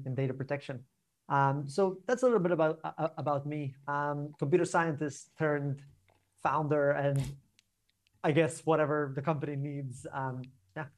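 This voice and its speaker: A young man with a Spanish accent, chatting informally and discursively as a podcast guest, often checking in with "right?". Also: a little high. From Barcelona, Spain. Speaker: podcast guest, startup co-founder. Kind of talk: casual interview conversation.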